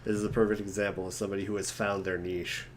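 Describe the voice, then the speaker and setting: A man chatting casually in an animated way between parts of an amateur reading. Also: fairly low pitch, fast talker, slight background noise.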